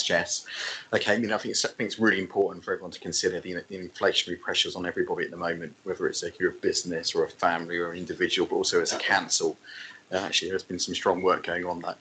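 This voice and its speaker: A deep-voiced man chairing a committee, talking fast and briskly. Faint background noise sits under his voice. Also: fairly bright tone, poor audio. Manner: brisk, businesslike. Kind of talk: chairing a meeting.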